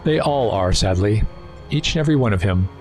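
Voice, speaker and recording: An actor in an audio drama playing a suave, erudite renegade Time Lord villain, wordy, condescending and persuasive. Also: male voice, fairly low pitch, animated, fairly quick, darkish tone, faint music behind, natural voice, poor audio. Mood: persuasive, scornful. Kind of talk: full-cast audio drama acting.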